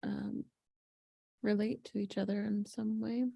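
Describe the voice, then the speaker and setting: Woman, gravelly, mellow tone, hesitant, casual chat. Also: medium pitch, little pitch movement, a little slow, no echo. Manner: soft, hesitant, trailing off. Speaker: table read participant. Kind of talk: casual discussion of a script.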